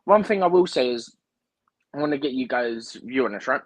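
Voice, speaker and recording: Husky-voiced man talking football. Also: medium pitch, a little fast, dry recording. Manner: animated, opinionated, rambling with "like" and "right?". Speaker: guest pundit on a fan livestream. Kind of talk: casual football chat. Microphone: remote livestream mic, drifting in and out.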